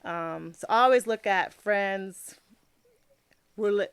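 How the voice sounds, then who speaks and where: Raspy, slightly tipsy woman. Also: mid-range voice, takes it slowly, no room sound. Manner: slurs words, laughs often, talks in run-on thoughts. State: a little tipsy. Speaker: podcast guest. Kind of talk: casual chat.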